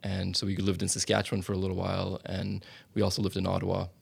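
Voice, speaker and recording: A man born in Iran and raised in Canada, answering interview questions in an unhurried, reflective way. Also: sits low, monotone, vocal fry, slightly rough. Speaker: interview guest, public servant. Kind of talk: casual conversational interview.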